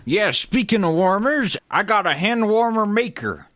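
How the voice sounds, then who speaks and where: A man's voice: high-pitched, big pitch swings, normal speed, a bit bright, no room sound, studio quality. A man playing a boastful, folksy cowboy tool owner in a comedy radio skit, with a drawling, blustering manner. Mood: defiant boasting.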